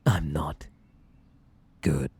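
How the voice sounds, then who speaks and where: A man with a British accent playing a possessive, obsessive, sweetly menacing bunny man in an ASMR roleplay, coaxing and theatrical, with a breathy voice that slips into whispers. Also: extremely low pitch, warm tone, faint music behind, exaggerated voice. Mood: wounded, desperate. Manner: theatrical, coaxing, drawn-out polite endearments.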